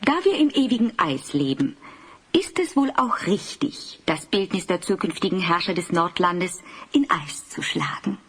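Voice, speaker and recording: A woman's voice: on the low side, expressive, normal speed, slightly rough. A woman playing a genteel, persuasive queen in a fantasy audio drama, speaking in a courtly, coaxing way. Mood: pointed challenge. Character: genteel, persuasive queen of an icy northern realm.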